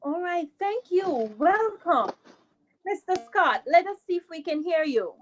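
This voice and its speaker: Casting: woman, clearly high-pitched, live host of a children's video-call event, giving short directions. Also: sing-song, a little slow, lo-fi sound. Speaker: host of online children's story time. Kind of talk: live hosting, directing participants.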